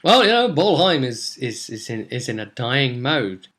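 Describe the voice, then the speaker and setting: Male, 20s, game master vlogger. Bright, forward voice, excitable rambling storyteller. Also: mid-range voice, measured pace. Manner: rambling, excitable, acts out players' lines. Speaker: game master recapping a tabletop role-play session. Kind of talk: casual animated storytelling to camera. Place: small room at home.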